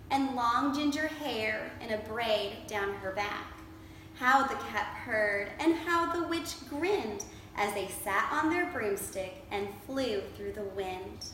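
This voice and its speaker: A woman's voice: on the high side, sharp tone. A woman reading a rhyming picture book aloud like a teacher, expressively and rhythmically. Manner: measured, rhythmic rhyming delivery. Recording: very echoey room, distant mic, faint noise.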